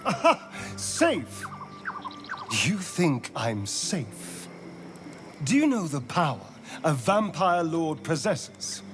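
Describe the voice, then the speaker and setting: An actor playing a sardonic, haunted vampire spawn in a video game, with an arch, dramatic, drawling delivery. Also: male voice, fairly high pitch, very animated, slow pace. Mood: alarmed, urgent. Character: flamboyant, sardonic male vampire spawn, haunted by his master. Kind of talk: theatrical video game voice acting. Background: background music.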